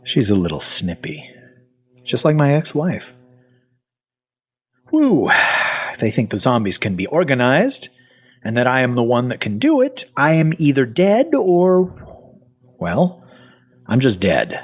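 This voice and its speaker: A man playing a husky, wry zombie-story survivor. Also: mid-range voice, very animated, a little slow, pauses often. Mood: wry, exasperated. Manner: sardonic, colloquial. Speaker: voice actor and narrator. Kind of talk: first-person audio fiction narration and dialogue.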